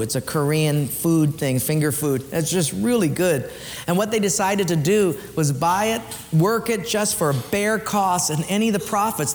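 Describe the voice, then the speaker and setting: A man preaching an earnest sermon as pastor in a church, swinging between lifted emphatic lines and hushed ones, then leading a prayer. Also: fairly high pitch, big-room sound, slight background noise.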